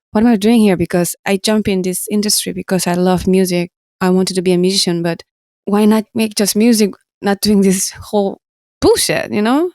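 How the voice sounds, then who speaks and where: Soft-spoken Korean woman musician, dry mic. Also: fairly low pitch, dry recording. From South Korea. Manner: halting, earnest, laughs often, simple phrasing. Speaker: guest musician. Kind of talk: casual interview talk.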